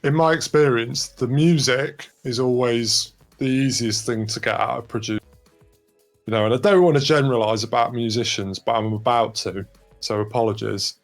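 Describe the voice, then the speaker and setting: A man's voice: mid-range voice, moderate pace, faint music behind. A man talking casually as a guest in an informal panel discussion. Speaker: record label guest.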